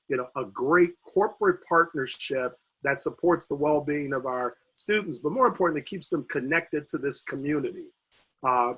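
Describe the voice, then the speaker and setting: Husky-voiced man losing his voice. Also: on the high side, fairly bright tone, low-quality recording. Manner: halting, many fillers and restarts. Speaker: university recreation administrator. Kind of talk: video call panel remarks. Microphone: video call.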